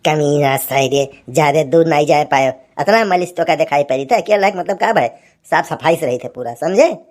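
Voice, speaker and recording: A woman's voice: deep voice, moderate pace, darkish tone, natural voice. A woman voicing a grumbling, teasing village husband in a cartoon comedy, with an Awadhi accent and a folksy, scolding manner.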